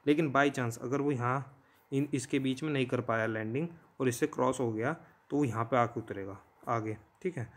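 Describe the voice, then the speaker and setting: A man's voice: medium pitch, moderate pace. An Indian man teaching in an informal, conversational way, explaining step by step and often checking in with listeners. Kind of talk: informal explanatory lesson in Hindi mixed with English terms.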